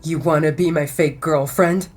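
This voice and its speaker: A commanding male werewolf alpha, as a woman narrator puts him on, with clipped, stern replies. The voice sits lowish for her. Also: steady tone, moderate pace, a little mellow. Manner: curt, commanding.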